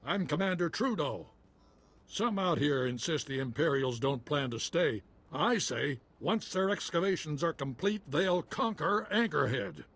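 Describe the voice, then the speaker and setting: A husky-voiced frontier militia commander for a video game. He pitches high and swings widely through his lines, with a wry, folksy way of putting things. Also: lively intonation, unhurried, a bit bright, exaggerated voice. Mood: wry, urgent. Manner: folksy, clipped colloquial speech.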